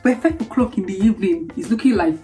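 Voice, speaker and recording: A woman in her early twenties with a Nigerian accent, chatting casually as a vlogger and telling stories in an animated, exclamatory way. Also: medium pitch, fast talker, soft background music. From Lagos, Nigeria. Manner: animated, exclamatory, acting out reactions. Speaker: vlogger, international student. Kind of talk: casual chatty storytelling to camera.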